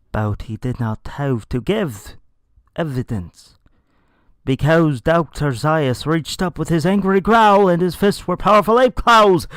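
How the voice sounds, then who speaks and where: A man's voice: on the high side, no echo, clean studio sound. A man reading a story aloud in a dramatic, comedic style with theatrical, emphatic delivery. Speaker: narrator reading a fan fiction story aloud.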